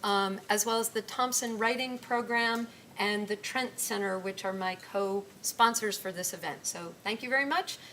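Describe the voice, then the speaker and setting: A woman hosting and opening a symposium, speaking haltingly with many ums. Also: mid-range voice, pushed and bright, a little hiss or hum. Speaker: program director, event host. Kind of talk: opening remarks. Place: lecture room.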